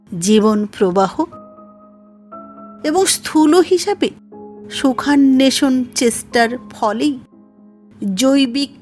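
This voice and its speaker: A woman narrating a formal literary essay in a measured, scripted audiobook style. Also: high-pitched.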